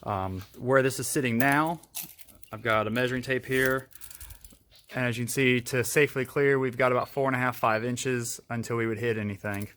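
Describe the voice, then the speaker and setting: A man's voice: mid-range voice, steady tone, moderate pace, fairly bright tone, a little hiss or hum. A man hosting a casual how-to video, explaining step by step as he demonstrates. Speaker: host of a DIY vehicle video.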